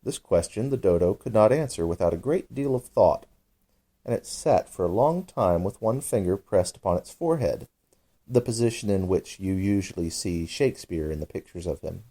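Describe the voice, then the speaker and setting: A man reads a bedtime story as its narrator. His voice is low and mellow, with lively, expressive movement through the sentences. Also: moderate pace.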